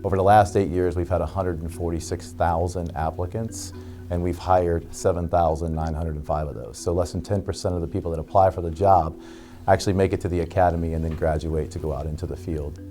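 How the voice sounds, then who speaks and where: A man's voice: low-pitched, steady tone, brisk, dark tone, faint music behind. A man explaining things in an interview as an agency official.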